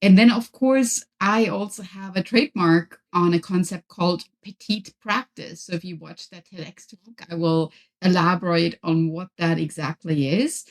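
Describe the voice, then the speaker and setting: A woman's voice: lowish voice, steady tone, normal speed, few pauses. A woman from Germany with a German accent, a native German speaker, talking as a podcast guest and giving structured, upbeat advice. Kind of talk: interview conversation.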